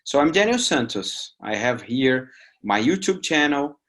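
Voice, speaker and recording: A man in his forties from Brazil, whose first language is Portuguese, teaching an online course in a lively way, often tagging lines with "right?". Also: medium pitch, measured pace. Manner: lively, with emphatic rising asides and "right?" tags. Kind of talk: recorded lecture over slides. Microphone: webcam video call framing.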